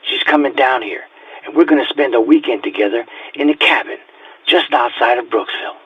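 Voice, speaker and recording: A husky-voiced, world-weary detective near retirement , played by a man, confides into his recorded journal for an audio drama. His manner is reflective and haunted. The sound is close, dry and slightly dull. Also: mid-range voice, a little fast, no echo.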